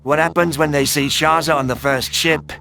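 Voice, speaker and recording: Man doing a higher-voiced alien guard underling. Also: steady tone, moderate pace, gravelly, bright, forceful tone. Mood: questioning. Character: alien security guard, wary male underling.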